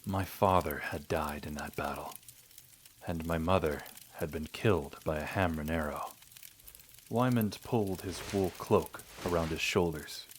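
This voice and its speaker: A man's voice: a bass voice, unhurried, slight background noise. A man reading scripted first-person narration for an audio drama.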